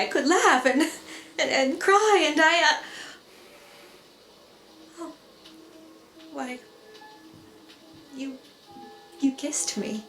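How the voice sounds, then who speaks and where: A woman acts out a prim, tender lady from a river adventure radio play. Her high voice moves slowly, fluttery and hesitant but very proper. It rings in an echoey live room. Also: a bit bright, heavily acted. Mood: flustered. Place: live theatre performance in a reverberant room. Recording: echoey live room, noticeable background noise.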